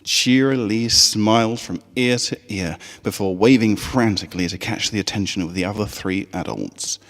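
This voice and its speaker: A man narrating a scripted story in an expressive, dramatic way. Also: on the low side, normal speed, in a large hall. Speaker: fan fiction reader.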